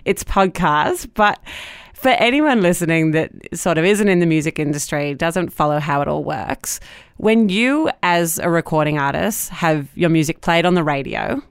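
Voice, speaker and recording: Smooth, low-pitched Australian woman podcast host. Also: normal speed, no echo, clean studio sound. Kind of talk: news podcast presenting and interviewing.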